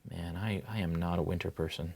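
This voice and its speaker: A man hosting a live miniature painting tutorial, relaxed and chatty, thinking aloud as he paints. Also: low-pitched, a little fast, creaky, slightly rough.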